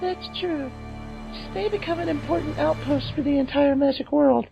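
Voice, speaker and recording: A sweet, composed young woman mage, read by a woman in a fan read-through. Her voice is very high and soft, and her manner is polite. The sound is muffled, with faint music behind. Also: moderate pace, gravelly. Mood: calm. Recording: muffled lo-fi recording.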